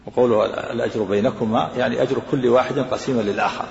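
A man teaching as a scholar, explaining texts in a rapid lecture and repeating his points. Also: mid-range voice, steady tone, creaky, a little mellow, a little hiss or hum. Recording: echoey hall, dull lo-fi sound.

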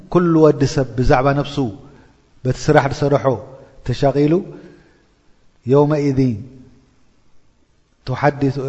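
A man's voice: medium pitch, a little mellow. A man giving a religious lesson, intoning verses and explaining them, often checking in with rhetorical questions to his listeners. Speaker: Islamic preacher explaining a Quran chapter. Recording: dull lo-fi recording with long reverb.

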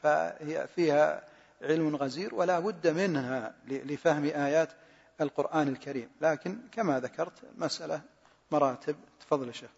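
A religious teacher taking questions after a class in a big echoing hall, a husky man repeating himself haltingly, caught on a muffled recording. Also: on the high side, a little mellow. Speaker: lecturer answering questions on Quran study.

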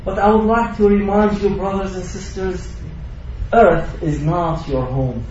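A man's voice: high voice, a little slow, darkish tone, a little hiss or hum. A man giving a Friday sermon in a mosque, preaching with rising emphasis and mixing in Arabic recitation. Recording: distant echoey mosque recording, dull.

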